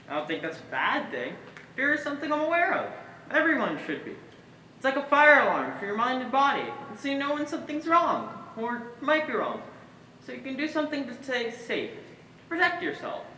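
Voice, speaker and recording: A woman's voice: on the high side, pushed and bright. A woman acting a timid, watchful, childlike alter ego in a stage monologue. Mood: anxious, earnest. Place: stage in a large hall, distant mic. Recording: echoey hall from far off, noisy.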